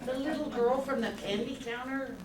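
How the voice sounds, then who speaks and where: A woman's voice: high-pitched, steady tone, moderate pace, natural voice. An older woman playing a curious, naive angel asking questions in an amateur radio play. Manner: inquisitive. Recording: echoey, distant, noisy room.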